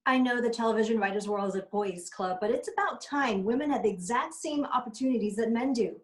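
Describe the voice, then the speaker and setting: A woman's voice: fairly high pitch, brisk, natural voice. A woman playing a determined, articulate television writer in a screenplay table read.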